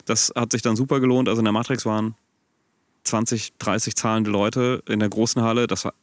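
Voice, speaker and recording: A man's voice: fairly low pitch, flat delivery, a little fast, dry recording. Hesitant man with a Ruhr German accent. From Ruhr area, Germany. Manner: hesitant, full of filler sounds. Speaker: podcast host. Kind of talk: casual chat.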